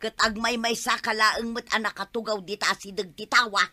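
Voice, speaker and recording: An actress playing an irritable wife in an Ilocano-accented radio comedy drama. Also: female voice, fairly high pitch, fairly even intonation, fairly quick, bright, forceful tone, no echo. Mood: exasperated.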